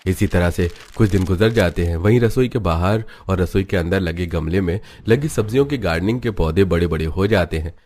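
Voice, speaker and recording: A man's voice: low-pitched, a little fast, few pauses, a little mellow. A man reading scripted storytelling narration for a cartoon family tale.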